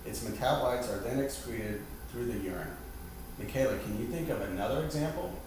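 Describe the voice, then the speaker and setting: A man's voice: medium pitch, fairly quick. A man giving a scripted, formal lesson as an instructor, stumbling now and then over technical terms. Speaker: instructor leading a staged class. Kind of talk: scripted lesson presentation, reading aloud. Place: reverberant indoor room, distant microphone. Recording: very echoey room, far mic, loud background hiss.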